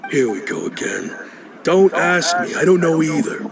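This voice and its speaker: A man's voice: on the high side, sing-song, moderate pace, gravelly, noisy background. A man playing a weary, irritable city gate guard in a video game, speaking in a curt, dismissive, exasperated way. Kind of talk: video game character acting. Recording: game music under the voice. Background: music.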